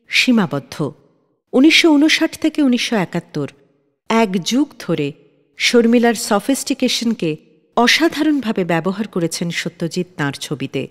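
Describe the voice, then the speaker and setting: A woman hosting a radio show, reading scripted narration and introducing songs in a measured announcer's manner. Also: mid-range voice, in a large hall.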